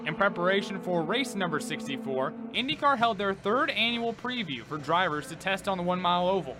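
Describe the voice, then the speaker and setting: A man's voice: sits high, sing-song, fairly quick. A man reporting sports recaps in an emphatic, announcer-like broadcast delivery.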